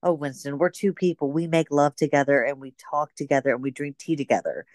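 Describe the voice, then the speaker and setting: A woman's voice: deep voice, a little fast, darkish tone, no room sound, studio quality, natural voice. A woman playing a bold, pragmatic young woman and secretive lover in a radio drama, speaking in endearments. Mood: reluctant, pleading. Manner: endearing, frequently says darling.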